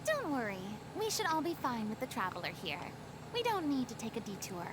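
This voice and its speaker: Very high, theatrical young diva. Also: female voice, wildly swooping pitch, brisk, background noise. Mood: breezy. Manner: dramatic, flowery, stage-like delivery. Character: theatrical young woman, former archon, self-important yet sheepish. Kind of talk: anime fantasy game voice acting. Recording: game music over the voice.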